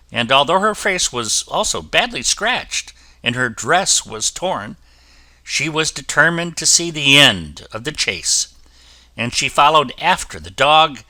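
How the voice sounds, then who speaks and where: A man reading a children's fairy tale aloud as the storyteller, with an expressive delivery. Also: medium pitch, slow, dry recording.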